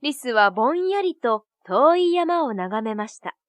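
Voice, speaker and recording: High-voiced woman narrating a children's story. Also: sing-song, a little slow, no echo. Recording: soft music behind.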